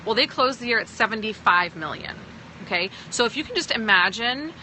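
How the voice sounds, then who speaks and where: A woman's voice: on the high side, expressive, fairly quick, pushed and bright, a little hiss or hum, rough recording. A woman from Pakistan who sounds American, talking to viewers on a phone livestream as a sales team leader, emphatic and often checking in with "okay?". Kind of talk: livestream talk answering viewers.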